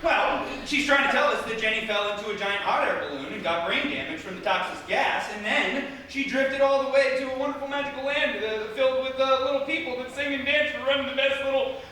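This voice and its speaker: A man's voice: extremely high pitch, fairly quick, few pauses, pushed and bright. A man playing a bumbling, reassuring sheriff in live comedic radio theatre, cheerful and a little stammering. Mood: explaining. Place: theatre stage in front of audience. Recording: echoey live theatre stage, distant, faint noise.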